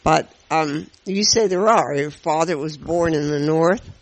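A man hosting a radio interview, asking questions in a conversational, halting way. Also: fairly high pitch, rough recording. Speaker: radio host.

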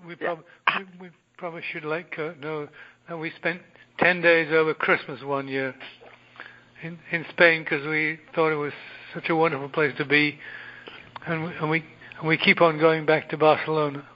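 Male co-host, monotone, halting starts, dull recording. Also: on the high side. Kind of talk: casual interview chat.

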